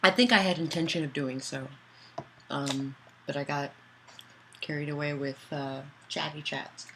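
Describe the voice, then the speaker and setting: Author on a livestream, mid pitch, rambly and chatty, faint background noise. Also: steady tone, unhurried, pushed and bright. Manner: chatty, answers chat messages aloud; loose, with many fillers and restarts. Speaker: author streaming to patrons. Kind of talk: casual livestream chat.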